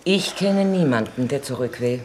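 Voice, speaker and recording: An actress playing a blunt, unsentimental nurse who reluctantly speaks for a government, curt and matter-of-fact in a 1950s radio play. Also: female voice, rumbling low, expressive, normal speed. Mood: curt, indifferent. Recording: noisy 1950s radio recording.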